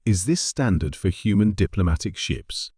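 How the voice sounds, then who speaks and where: A man's voice: deep voice, animated, moderate pace, mellow, breathy edge, no room sound, studio quality. A man voicing a composed, cautious female alien ambassador with careful, formal diplomatic phrasing, in a story reading. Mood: carefully neutral.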